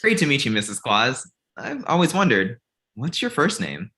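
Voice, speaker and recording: A man acts out a glib, self-deprecating filmmaker in a comedy read. The voice is mid-pitched and very animated in intonation, with a casual, wry delivery. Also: moderate pace. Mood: curious. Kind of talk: table read comedy acting.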